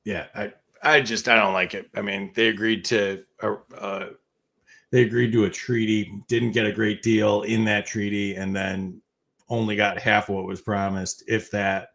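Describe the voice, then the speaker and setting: A man's voice: lowish voice, flat delivery, moderate pace, vocal fry, fairly bright tone. An American man giving plain-spoken opinions in casual political chat on a livestream. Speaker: livestream co-commentator.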